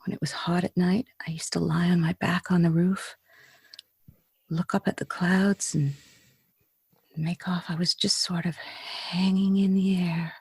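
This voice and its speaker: Radio drama: bitter, wistful Hollywood starlet with slum roots, played by a woman; low, slangy, halting delivery, close dry sound. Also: flat delivery, no room sound, exaggerated voice. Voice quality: husky, breathy. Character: jaded female Hollywood film star, slum-raised, frightened, bitterly wistful. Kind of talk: 1940s radio drama acting.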